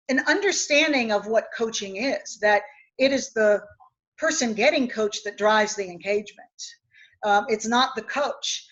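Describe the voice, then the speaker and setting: A woman from West Virginia, an executive coach speaking in a webinar, explaining her points earnestly through anecdotes. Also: fairly high pitch. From West Virginia, USA. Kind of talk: webinar discussion. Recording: dull lo-fi webinar audio.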